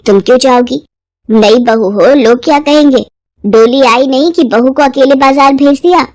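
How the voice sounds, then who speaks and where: A woman playing a strict, high-voiced old mother-in-law. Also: normal speed, a little mellow, no room sound, natural voice. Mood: disapproving. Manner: scolding, commanding. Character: strict, orthodox elderly mother-in-law, scolding and bossy. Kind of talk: Hindi moral-story dramatization.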